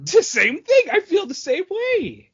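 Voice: extremely high pitch, wildly swooping pitch, moderate pace, highs cut off. A podcast co-host speaking in casual, conversational chat.

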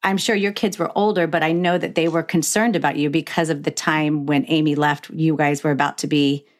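A woman's voice: low-pitched, fairly even intonation, fairly quick. A middle-aged woman hosting a candid conversational interview, speaking frankly and emphatically with the odd mild swear. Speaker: podcast host.

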